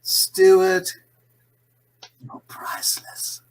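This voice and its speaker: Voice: extremely slow, whispered. An actor playing a condescending, scheming imprisoned crime boss in a 1940s-style radio play, suave and gloating in manner. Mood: gloating, mocking.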